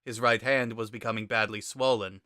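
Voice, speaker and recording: A man giving a measured, dramatic narration of a scripted story. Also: lowish voice, steady tone, pushed and bright, dry recording, clean studio sound.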